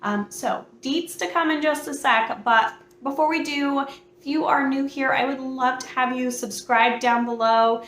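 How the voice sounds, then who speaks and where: A woman hosting her book channel, chatting casually and excitedly to camera, a bit hoarse from a cold, with plenty of fillers. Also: high-pitched, measured pace, roomy sound. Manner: bubbly, chatty, many fillers.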